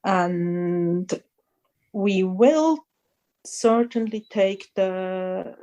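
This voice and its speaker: Casting: unhurried woman guest, mellow, soft tone, thoughtful and halting. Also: medium pitch. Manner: thoughtful, halting, laughs often. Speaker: magazine editor guest. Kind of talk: casual interview chat.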